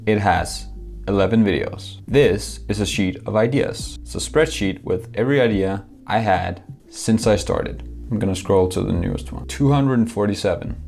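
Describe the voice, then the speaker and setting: A man's voice: on the low side, moderate pace, gravelly, darkish tone, faint music behind. A young man from Denmark, speaking English, talks candidly and with self-deprecating humor to camera in a scripted vlog. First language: Danish. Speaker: YouTuber.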